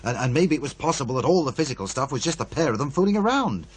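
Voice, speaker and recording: An actor playing a wry, needling radio producer who muses and insinuates in radio drama dialogue. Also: male voice, mid-range voice, sing-song, fast, breathy edge. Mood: insistent.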